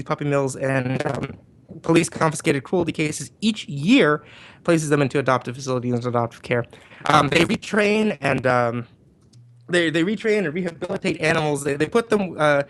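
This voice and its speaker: A man with a fairly high voice hosts a livestream. He speaks casually and informally, addressing viewers and chatting with a group over a call mic. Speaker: livestream host. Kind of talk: casual group chat and fundraiser appeal.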